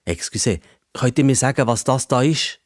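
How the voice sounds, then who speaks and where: A man voicing a curious, easily amazed old-fashioned gentleman from the past in a children's story, with a formal, wondering way of talking. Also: mid-range voice, lively intonation, normal speed, dry recording, clean studio sound, close to an everyday voice.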